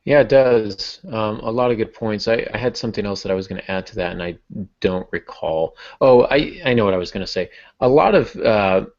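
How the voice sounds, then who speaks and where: A man with a creaky, lowish voice, hosting a casual discussion. He thinks aloud as he talks, and the podcast audio is a bit dull and lo-fi. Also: a little fast, darkish tone. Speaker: podcast host and moderator.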